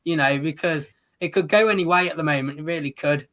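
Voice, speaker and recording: A man who has lost his voice, chatting casually as a football show co-host in an agreeable, measured way. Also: on the high side, fairly bright tone. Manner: measured, agreeable, uses fillers like "um" and "you know". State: losing his voice.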